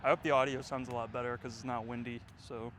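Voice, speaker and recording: A man's voice: medium pitch, level tone, quick pace, a bit bright, slight background noise. A man in his twenties vlogging outdoors, rambling and reflective, with lots of fillers. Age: 20s. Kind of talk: casual reflective vlog. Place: outdoors, windy.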